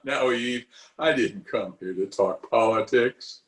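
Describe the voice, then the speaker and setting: A man's voice: mid-range voice, slow pace, close to an everyday voice. A man playing a pompous, self-justifying middle-aged uncle in an old-time radio comedy, with a blustery, chuckling manner. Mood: pleading. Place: recorded remotely over a video call.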